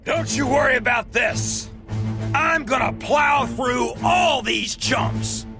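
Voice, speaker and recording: A man's voice: extremely high pitch, animated, slow, full character voice. An actor voicing a cocky, hot-headed, boastful alien warrior man who is easily riled, in an English anime game dub. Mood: furious. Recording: loud music over the voice.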